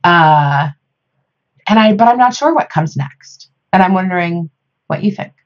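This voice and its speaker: Woman, American accent, somewhat low pitch, thoughtful halting delivery, conversational podcast talk, slightly dull audio. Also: animated. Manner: halting, thoughtful, pausing between phrases. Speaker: podcast discussion participant. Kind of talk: reflective conversational discussion.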